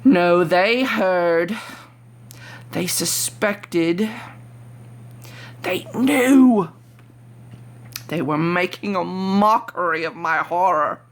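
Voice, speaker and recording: A woman's voice: lowish voice, drawn-out words, long pauses. A woman voicing an unhinged, cunning murderer in a theatrical, intense dramatic reading of a horror story. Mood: horrified, frantic. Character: unhinged murderer, smug and cunning, growing frantic.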